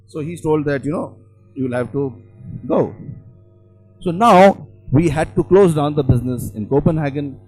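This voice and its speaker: A man with an Indian accent giving a motivational talk, telling a personal story in a halting way with repeated phrases. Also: mid-range voice, mellow, poor audio. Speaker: company founder and trainer.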